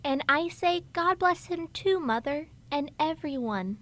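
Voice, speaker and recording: A woman's voice: high-pitched, lively intonation, unhurried, fairly bright tone. A woman playing a sweet, cheerful, frail little boy in a radio drama, innocent and earnest.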